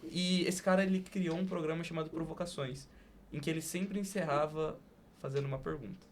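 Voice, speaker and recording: Higher-voiced man, deliberate podcast host. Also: a little hiss or hum. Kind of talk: conversational hosting and spoken-word poem recital.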